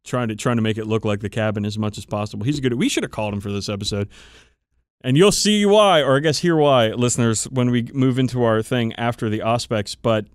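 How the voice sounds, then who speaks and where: A man's voice: mid-range voice, a little fast, smooth, dry recording, studio quality. A man co-hosting a podcast, chatting casually and swearing freely as he tells stories. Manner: profane, animated storytelling about tabletop games. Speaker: podcast co-host. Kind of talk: casual chat between friends.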